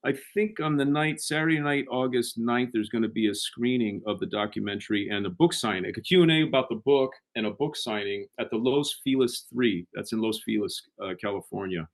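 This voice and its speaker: A man's voice: medium pitch, brisk, hardly any pauses. A man, a guest author, talking in a casual interview with many false starts and repeated words.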